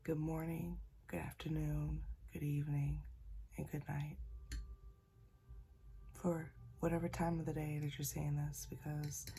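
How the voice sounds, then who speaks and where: A woman sharing a casual spiritual message, talking softly because she is a little sick, in a husky, near-whispered voice and a folksy manner. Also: low-pitched, little pitch movement, measured pace, slight background noise. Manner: folksy, calls listeners y'all and child. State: slightly sick, keeping voice soft. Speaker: spiritual channeler.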